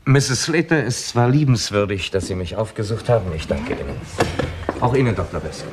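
Deep-voiced, measured detective chief played by a man. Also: lively intonation, close to an everyday voice. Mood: calm, composed. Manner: measured, polite, probing questioning. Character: calm, methodical, old-fashioned male police detective chief. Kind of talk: 1960s German radio crime drama acting. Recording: noisy 1960s radio drama recording.

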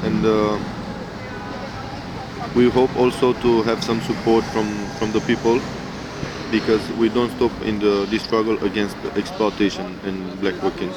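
A low-voiced Romanian man speaks halting English with a Romanian accent, full of pauses and fillers. He is recorded outdoors by a city street, with clear traffic noise behind him. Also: flat delivery. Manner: hesitant, many pauses and fillers. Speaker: construction worker, interviewee. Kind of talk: halting answers in non-native English.

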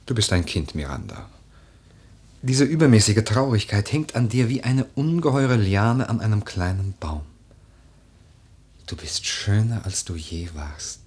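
An actor playing a brooding, eloquent, lordly widower in a literary radio play, with lyrical, deliberate, declamatory delivery. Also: male voice, lowish voice, animated, darkish tone, breathy edge, full character voice. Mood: ardent, lyrical. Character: brooding, eloquent widower, poetic and lordly, drawn to a young woman.

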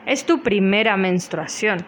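A woman's voice: medium pitch, animated, unhurried, a little hiss or hum. A woman conducting a friendly, conversational radio interview. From Colombia.

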